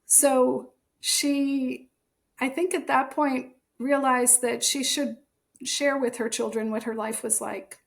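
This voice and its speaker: American lady being interviewed, higher voice, creaky edges, explains stuff carefully. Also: steady tone, takes it slowly. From United States. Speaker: author and translator, interview guest. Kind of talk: conversational podcast interview.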